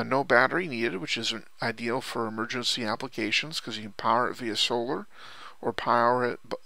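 A man's voice: medium pitch, vocal fry, bright, forceful tone, no echo. A man giving casual, unscripted product commentary in a rambling, hesitant way, often repeating himself. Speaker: online product reviewer.

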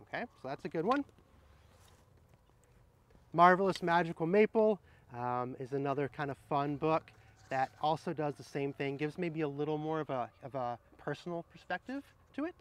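A middle-aged man with a slightly higher-pitched voice shares children's picture books outdoors. He talks straight to the viewer in a gentle, explanatory manner, suited to reading aloud and casually recommending books. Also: animated, normal speed. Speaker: horticulturist presenting children's books. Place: outdoors under trees in an arboretum garden.

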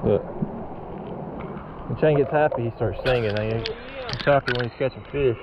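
A man's voice: mid-range voice, normal speed, dull audio. Laid-back man fishing by a river. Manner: laid-back, excitable when fish bite. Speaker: fly fishing vlogger. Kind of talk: casual outdoor chat. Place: outdoors by a mountain river. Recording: music playing behind.

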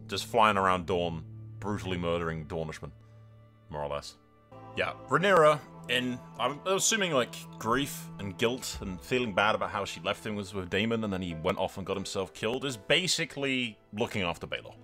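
A man's voice: on the low side, expressive, normal speed, pushed and bright. A man hosting a gaming video, giving casual, informal commentary that retells a roleplay story in a sweary, tongue-in-cheek way. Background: background music.

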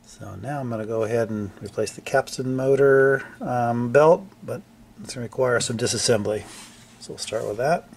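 An older man casually narrating a hands-on repair, thinking aloud in a halting, unscripted way. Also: medium pitch, vocal fry, darkish tone, a little hiss or hum. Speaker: hobbyist restorer presenting a repair video. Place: indoor basement-like home workshop.

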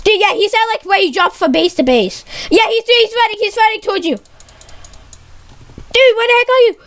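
Excitable woman gamer, very high and bright voice, bouncy and jumpy delivery, talks to a teammate. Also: normal speed. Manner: excitable, repeats warnings, says dude constantly. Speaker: YouTube gamer. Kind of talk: live gameplay commentary with a friend.

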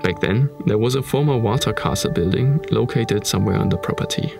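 A man with a German accent narrating a documentary about an abandoned manor in a calm, measured tone. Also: on the low side, gravelly, over music. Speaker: narrator of urban exploration videos.

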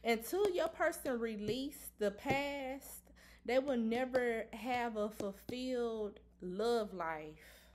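Blunt, emphatic woman reading tarot. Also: fairly high pitch. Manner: blunt, repetitive, emphatic, swears casually. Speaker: tarot reader. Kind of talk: casual tarot reading to camera. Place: indoor room at a table.

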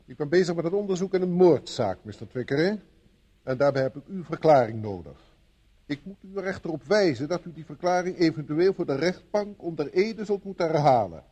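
An actor in a Dutch radio play as a sceptical police inspector, formal and authoritative. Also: male voice, fairly high pitch, lively intonation, a little fast, mellow, husky, lo-fi sound. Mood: official, stern.

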